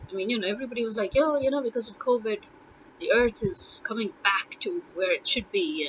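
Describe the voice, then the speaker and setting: A woman's voice: mid-range voice, a bit bright, dull audio, a little hiss or hum. A woman who teaches Urdu, talking casually and thinking aloud, with many ums and uhs. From Pakistan. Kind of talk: casual spoken blog monologue.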